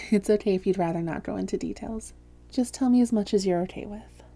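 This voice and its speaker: A woman playing a calm female vampire therapist in a roleplay audio, speaking softly, gently and in a measured, reassuring way. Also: medium pitch. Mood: gentle, professional. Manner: measured, gentle, reassuring, breathy hushed phrases. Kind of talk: soft-spoken roleplay audio, therapist addressing listener.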